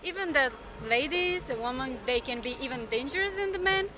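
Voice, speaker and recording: A woman's voice: high-pitched, animated, fairly quick. A woman interviewed on a city street, answering thoughtfully and searching for words. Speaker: passer-by interviewed.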